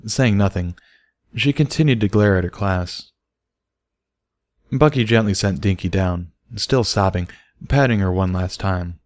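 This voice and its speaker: A man's voice: low-pitched, a little mellow, no echo, studio quality. A man reading scripted story narration as an audiobook narrator.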